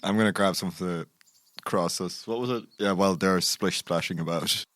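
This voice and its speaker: A man with a lowish voice plays in a tabletop game. His talk is casual and conversational. The sound is dry, with little room around it. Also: normal speed, fairly bright tone, no echo. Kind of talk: casual chat.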